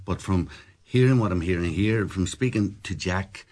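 Deep-voiced hesitant Donegal man. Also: normal speed, a little mellow. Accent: Irish, Donegal. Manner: hesitant, many uh fillers, a little defensive. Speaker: local election candidate. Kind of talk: panel discussion.

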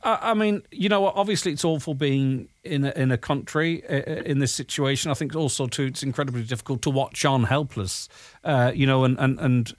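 A man hosting an interview in a conversational, informal and slightly halting way. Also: mid-range voice, no room sound, clean studio sound. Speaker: interviewer, host.

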